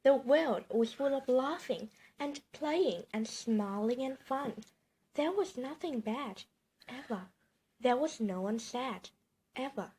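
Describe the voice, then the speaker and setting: A woman reading a children's bedtime story aloud in an expressive, emphatic storytelling manner. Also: high voice, takes it slowly, slightly rough.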